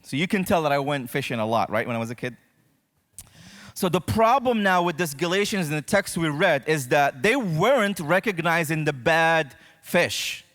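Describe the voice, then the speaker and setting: Male preacher from Morocco, slightly high voice, conversational sermon delivery, big reverberant hall. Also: expressive, moderate pace, fairly bright tone. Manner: conversational, addresses listeners directly with check-in questions. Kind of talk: sermon to a congregation. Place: church auditorium, speaking to a congregation.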